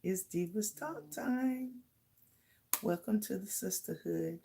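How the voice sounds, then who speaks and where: A middle-aged woman with a slightly raspy voice chatting casually to live viewers as host, halting and pausing as she goes. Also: medium pitch, warm tone, a little hiss or hum. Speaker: host of a livestream talk channel.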